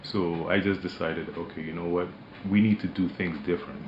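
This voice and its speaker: Man w a super deep voice, talks slow with lots of uhs, echoey muffled studio, noisy. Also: steady tone. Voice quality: deep, imposing voice. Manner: measured, hesitant with many fillers. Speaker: managing director interviewed as guest. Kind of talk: radio interview, relaxed business talk. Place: radio studio.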